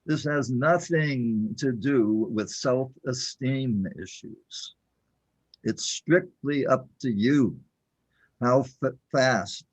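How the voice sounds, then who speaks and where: A man playing a calm, patient guide in an audio drama, speaking in a husky, measured, reassuring way. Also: medium pitch, rough recording. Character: calm patient male guide to a confused newcomer.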